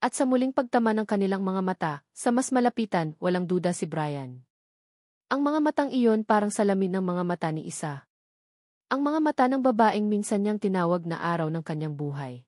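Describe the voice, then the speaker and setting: A woman reads a romance story as a storyteller, with scripted dramatic delivery. Her voice sits at a medium pitch, and the sound is very dry, with little room in it. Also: expressive, fairly quick, darkish tone, no room sound.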